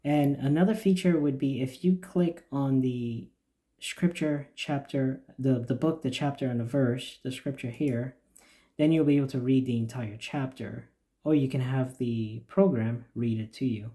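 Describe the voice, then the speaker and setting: A man explaining the features of a church website in a steady, plain walkthrough with occasional fillers. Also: medium pitch, measured pace. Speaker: church website developer giving a demo. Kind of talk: screen-recorded software walkthrough.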